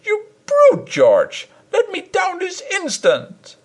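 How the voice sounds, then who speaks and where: Brief: tiny, enraged wife, very high shrieking voice, slow and wailing on the big lines. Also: male voice, huge pitch swings, heavily acted. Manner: screaming, wailing, near tears. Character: shrill, furious small wife, scolding. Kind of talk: audiobook character reading.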